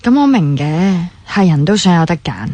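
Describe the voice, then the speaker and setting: An actress playing a blunt, sceptical young confidante in conversational Hong Kong Cantonese radio drama dialogue. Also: female voice, fairly low pitch, very animated, normal speed, mellow, no echo.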